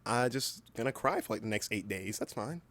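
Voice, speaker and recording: A man's voice: on the low side, expressive, fairly quick, fairly bright tone. A man in his early twenties chatting casually as a livestream host, with a playful, exaggerated way of talking. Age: early 20s. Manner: playful, exaggerated, self-mocking. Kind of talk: casual livestream chat, storytelling.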